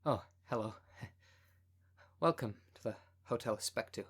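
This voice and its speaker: A man's voice: fairly low pitch, takes it slowly, a bit bright, breathy edge, full character voice. A man playing a timid, flustered hotel receptionist in an audio roleplay, stammering and apologetic. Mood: nervous, apologetic.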